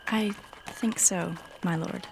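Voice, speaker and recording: A woman's voice: on the low side, fairly even intonation, slow pace, vocal fry, a little hiss or hum, low-quality recording. An actress playing a guarded young noble bride in a fantasy audio drama, formal, demure and careful in her speech. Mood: guarded, deferential.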